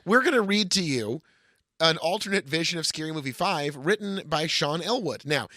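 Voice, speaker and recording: A man's voice: on the high side, animated, moderate pace, pushed and bright, no echo, studio quality. A man co-hosting a podcast, bantering casually and reading aloud with a sardonic edge. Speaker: podcast co-host.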